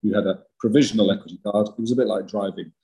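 Rambling older man with a husky big voice. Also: fairly low pitch, very fast, mellow. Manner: rambling, self-deprecating, many restarts. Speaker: actor guest. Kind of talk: podcast interview.

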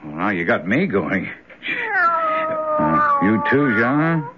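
An actor playing a plain-spoken man reading a newspaper aloud, deliberate and expressive, in old-time radio drama style. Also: on the high side, noisy background, heavily acted. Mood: grave, matter-of-fact. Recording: muffled lo-fi old radio broadcast.

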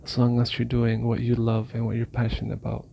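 A man raised in Malaysia recording a calm, reflective voice memo on his phone. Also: mid-range voice, fairly quick, creaky, slight background noise. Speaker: listener sending a voice memo. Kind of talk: casual voice memo.

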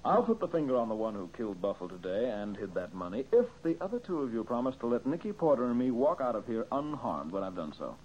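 A man's voice: mid-range voice, very animated, quick pace, few pauses, darkish tone, slight background noise, natural voice. An actor playing a confident, methodical male detective in an old-time radio mystery, explaining with emphasis. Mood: cagey, bargaining. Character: brisk, confident male detective laying out a case. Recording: muffled old radio recording.

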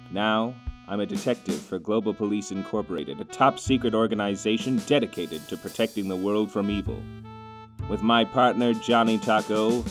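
A man acting a pompous, absurdly confident amnesiac detective in a deadpan, self-important way for a comedic radio drama. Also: on the low side, lightly acted. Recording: music bed under the voice.

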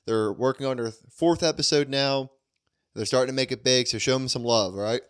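A man's voice: medium pitch, brisk, clear, resonant tone. Crude, joking American guy. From Delaware, United States. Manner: crude, joking, swears freely. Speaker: podcast co-host. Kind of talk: casual banter with friends.

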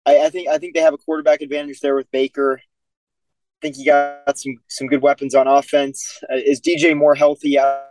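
A man's voice: on the high side, level tone, normal speed, low-quality recording. A man co-hosting a casual sports talk show, laid-back and hedging as he makes his pick. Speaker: podcast co-host.

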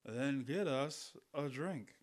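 A man's voice: mid-range voice, lively intonation, takes it slowly. A man playing a stubborn, tipsy American drinking pal in a radio play, slangy and challenging, with an American accent. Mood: insistent. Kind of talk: old-time radio drama acting.

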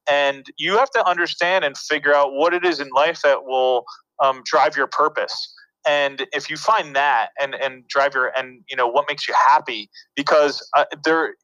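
A man's voice: medium pitch, sharp tone, no room sound, poor audio. A man in his thirties from New York and New Jersey, a guest in an interview, talking in a rambling, reflective way with lots of fillers and restarts. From New York City and New Jersey. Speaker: interview guest, media producer. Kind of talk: casual conversational interview.

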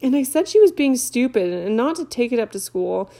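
A distressed teenage schoolgirl pleads through tears in a storybook reading, voiced by a woman. The voice sits low and mellow, with a gravelly edge under the words. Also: lively intonation, a little fast. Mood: upset. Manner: tearful, pleading.

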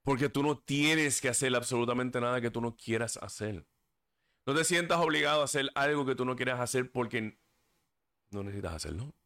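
A man's voice: mid-range voice, a little fast, fairly bright tone. A man hosting a solo podcast, talking earnestly and stumbling over words now and then. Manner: earnest and preachy, stumbles on words, drifts into English fillers. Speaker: podcast host. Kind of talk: casual solo podcast talk. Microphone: desk microphone.

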